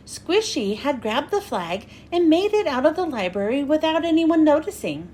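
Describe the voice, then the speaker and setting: Female kids' read-aloud host: expressive, very lively intonation, high voice, slightly noisy recording. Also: moderate pace. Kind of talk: children's storybook read-aloud.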